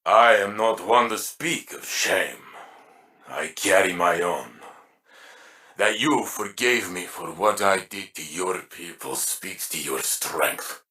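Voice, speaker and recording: An actor playing a humble, sincere alien warrior in a video game. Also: male voice, sits low, expressive, slow, sharp tone, heavily acted. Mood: regretful.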